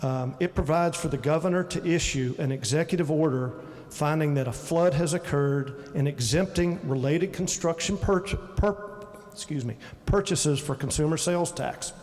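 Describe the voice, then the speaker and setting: Measured man explaining a bill. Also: fairly high pitch, a little mellow. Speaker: state delegate. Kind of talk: floor speech. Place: legislative chamber. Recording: long hall reverb, faint ambience.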